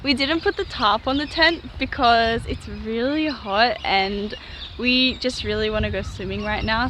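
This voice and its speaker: A woman's voice: high voice, fairly bright tone. A woman, chatting casually and giggly for an outdoor vlog. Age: 20s. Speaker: vlogger. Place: outdoors at a riverside campground. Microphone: handheld camera at arm's length. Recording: noisy outdoor camera, stream and ambience.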